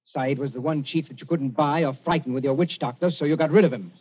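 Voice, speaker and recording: An actor playing a cool-headed, dryly sardonic British governor in an old-time radio drama. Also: male voice, a little high, fast talker, slightly rough. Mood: dry accusation. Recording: muffled vintage radio recording.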